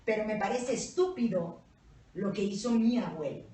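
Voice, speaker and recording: A Mexican woman co-hosting a casual panel chat, blunt, profane and animated, with a Mexican Spanish accent. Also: medium pitch, normal speed. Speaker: co-host. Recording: echoey room, mic at a distance.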